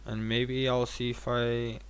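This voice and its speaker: A man's voice: medium pitch, completely flat delivery, slow. A tired man giving casual gameplay commentary as a streamer, rambling and thinking aloud with some casual swearing. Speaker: game streamer.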